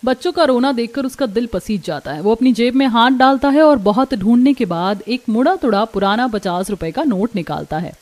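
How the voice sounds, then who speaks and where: A woman's voice: fairly high pitch, expressive, fairly quick, few pauses, a little mellow. A woman narrating a scripted cartoon story.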